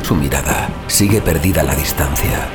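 An actor playing a frightened, protective brother fleeing sea monsters in a dramatic horror audio drama. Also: male voice, very deep voice, normal speed. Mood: haunted, grave. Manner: dramatic, haunted, swings from grave narration to urgent dialogue. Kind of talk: Spanish horror audio drama, dramatic first-person narration. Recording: loud music over the voice.

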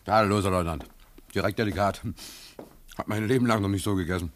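Man acting a low-voiced, unflappable vagabond prisoner. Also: steady tone, quick pace, a little hiss or hum, close to an everyday voice. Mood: hungry, grateful. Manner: folksy, hesitant, deferential but impudent. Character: cheeky, naive-seeming German vagabond prisoner facing execution, unflappable. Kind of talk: German radio play acting.

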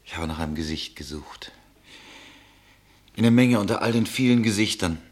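A man's voice: on the low side, expressive, normal speed. An actor playing a weary, romantic male traveller in a poetic radio play, earnest and lyrical in his delivery. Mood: tender, yearning.

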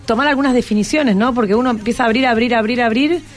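A woman with a Buenos Aires accent hosts a casual radio interview. Her voice is fairly high and she speaks encouragingly, with music underneath. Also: quick pace. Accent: Argentine, Buenos Aires. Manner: encouraging, prompting with tag questions. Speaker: radio host.

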